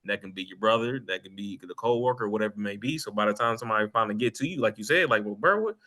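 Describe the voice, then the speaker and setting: An American man chatting casually as a podcast co-host, animated and slangy, quick to interject. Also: on the low side. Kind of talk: casual chat.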